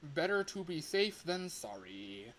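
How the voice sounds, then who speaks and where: A man hosting a casual livestream, rambling in an animated way, exclaiming and often breaking off or repeating his words. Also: on the high side, slow pace, a little hiss or hum, low-quality recording. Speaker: livestream host, game developer. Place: room at home.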